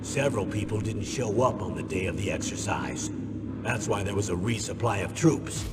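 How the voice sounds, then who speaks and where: An actor playing an older, gruff military commander who briefs with formal, measured, grim authority in a video game cutscene. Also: male voice, close to an everyday voice. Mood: grave, measured. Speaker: commanding officer. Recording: boomy reverberant hall, loud background noise.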